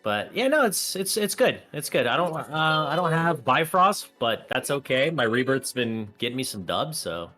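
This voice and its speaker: Male stream guest, mid pitch, bright tone, easygoing. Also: normal speed, few pauses, faint music behind. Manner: relaxed, laughs easily, swears casually. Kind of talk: casual chat.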